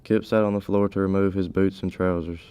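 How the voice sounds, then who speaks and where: A man's voice: deep voice, level tone, brisk, dark tone, slight background noise. A teenage male reading scripted story narration.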